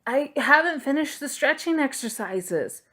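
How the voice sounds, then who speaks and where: A woman's voice: high-pitched, lively intonation, unhurried, a bit bright, pitched above the performer's own voice. A woman voicing a timid, earnest boy apprentice in an audiobook, pleading and apologetic. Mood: protesting.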